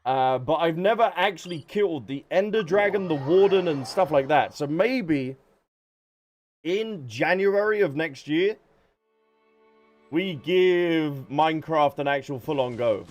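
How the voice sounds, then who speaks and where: A man's voice: a little high, animated, measured pace. A man livestreaming a game, chatting casually and speaking directly to his viewers over game music. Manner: chatty, addresses viewers by name. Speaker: live streamer.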